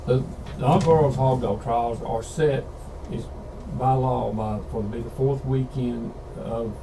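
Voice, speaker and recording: A man's voice: medium pitch, steady tone, unhurried. A man explaining plainly on a radio show, with stumbling restarts. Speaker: event organizer guest. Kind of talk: casual radio chat. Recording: background noise.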